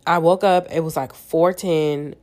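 A woman's voice: low-pitched, fairly even intonation, a little slow, darkish tone. A young woman hosting a casual solo podcast, sounding congested and nasal from a cold, chatting candidly and swearing freely. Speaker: podcast host.

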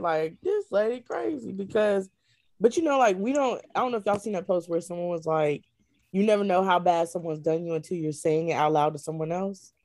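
A woman's voice: medium pitch, darkish tone. A woman guest in a casual chat, thinking aloud in a colloquial, thoughtful way. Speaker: voice actor guest.

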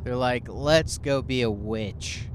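A man with a mid-range voice keeps cutting in with teasing, quick-fire jokes. He is recorded in a moving car, with a faint wash of road noise behind him. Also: medium pitch. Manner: teasing, quick jokey interjections. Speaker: comedian podcast host. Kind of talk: casual podcast banter. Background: car ambience.

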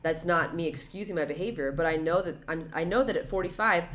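A woman's voice: on the low side, fast. A young woman co-hosting a podcast, talking animatedly and rambling as she chats about a TV show. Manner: animated, rambling, jumps into exaggerated quoted voices. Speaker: podcast co-host. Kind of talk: casual chat about a TV show.

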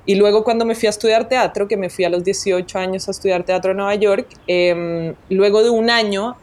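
A woman's voice: mid-range voice, steady tone, a little fast, darkish tone. A woman from Colombia, a guest photographer being interviewed, telling her story in a reflective way and restarting her sentences often. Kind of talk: conversational interview.

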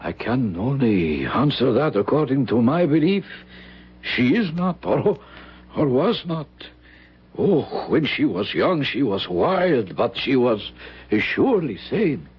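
An actor playing a gentle, grave older priest in an old-time radio drama, measured and fatherly. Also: medium pitch, very animated, husky, full character voice. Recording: dull, muffled vintage radio recording.

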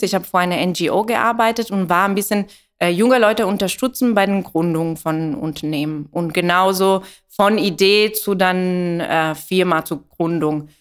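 A woman's voice: fairly low pitch, smooth. A woman with an Italian accent answering as an interview guest, hesitantly searching for words in a language that is not her first. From Italy. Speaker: interview guest, coffee business founder.

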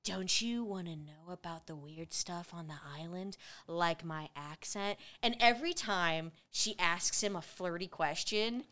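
A woman's voice: on the low side, unhurried, hardly any pauses, sharp tone. A woman co-hosting a podcast, recapping an old film in casual chat, laughing often and acting out characters' lines. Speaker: podcast co-host.

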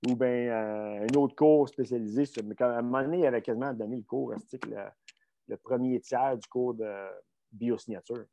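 A man's voice: medium pitch, fairly even intonation, a little fast, mellow. A middle-aged man with a Québécois accent, talking casually and bluntly, rambling with many fillers and restarts as he recounts his coaching career. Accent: Québécois French. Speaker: strength coach guest. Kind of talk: casual conversational storytelling.

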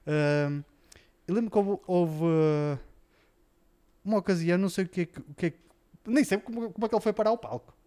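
A man's voice: high voice, brisk, long pauses, dark tone. A man from Portugal with a European Portuguese accent, telling stories in casual chat as a podcast guest, animatedly and with many repetitions. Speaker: podcast guest, former esports coach.

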